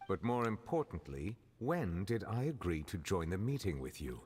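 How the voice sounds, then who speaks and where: An actor playing a reserved, dignified chief judge in a video game, speaking in a measured, formal, cautious way. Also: male voice, deep voice, very animated, heavy background noise. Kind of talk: scripted video game acting.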